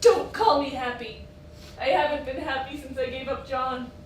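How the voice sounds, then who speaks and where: Actress doing a bitter jealous woman in a play, raw and intense, high, echoey, far from mic. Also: moderate pace, slight background noise, lightly acted. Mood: anguished, vengeful. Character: jealous, miserable inner self of a society wife, vehement. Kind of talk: stage play acting.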